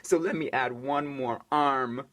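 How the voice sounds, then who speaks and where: A young man performing spoken word poetry, dramatic and rhythmic, swinging between hushed lines and loud, emphatic ones. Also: medium pitch, steady tone, a little slow.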